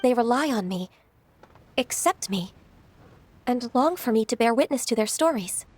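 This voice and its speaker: A woman playing a gentle, dutiful, ageless secretary, polite and formal, in an anime video game. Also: a little high, expressive, moderate pace, slight background noise, lightly acted. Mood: moved, wistful.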